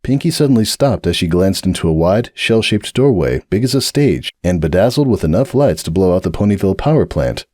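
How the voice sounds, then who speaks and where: A man narrating a dramatic audiobook reading of a fanfiction story in a measured, expressive way. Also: deep voice, no echo, studio quality.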